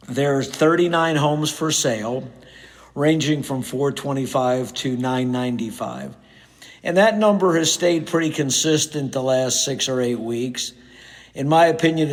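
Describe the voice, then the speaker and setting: A middle-aged man hosting his own podcast in a casual, conversational way, sounding a little hoarse and nasal from a cold. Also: a little high. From United States. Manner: conversational, with frequent fillers. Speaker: podcast host, real estate agent. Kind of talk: casual solo podcast talk.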